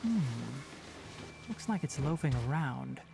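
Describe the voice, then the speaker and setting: An actor playing a calm, studious young male forest ranger in an anime-style video game, speaking precisely and with gentle sternness. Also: fairly high pitch, unhurried, gravelly, over music. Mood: calm, observant.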